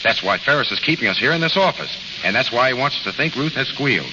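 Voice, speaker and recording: An American actor playing a tough, wisecracking gang boss in a 1930s radio drama, with clipped, slangy talk. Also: male voice, medium pitch, lively intonation, fast talker, close to an everyday voice. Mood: urgent, scheming. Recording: muffled, noisy old radio recording, reverberant.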